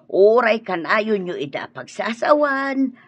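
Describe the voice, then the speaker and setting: A woman's voice: mid-range voice, big pitch swings, slow pace, husky, no room sound, lo-fi sound, full character voice. An actress with an Ilocano accent playing a respectful woman who speaks earnestly to her madam in a radio drama. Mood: earnest, deferential.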